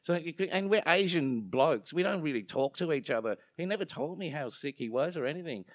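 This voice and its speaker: A man's voice: fairly high pitch, lively intonation, fairly quick, dry recording. A young adult man with an Australian accent, being interviewed, talking casually and joking, drifting onto tangents. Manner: rambling, joking, self-deprecating, goes off on tangents.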